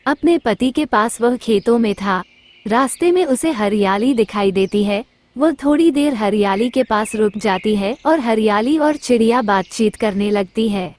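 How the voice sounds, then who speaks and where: A woman's voice: fairly high pitch, animated, unhurried, darkish tone. A woman narrating a simple children's cartoon story.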